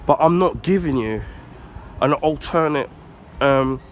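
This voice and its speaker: A man from the UK with a husky, congested voice from the flu, giving a casual rambling rant with many ums and throat clearing. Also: fairly high pitch, expressive, a little slow, slight background noise. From United Kingdom. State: husky, recovering from flu, blocked nose. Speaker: YouTuber.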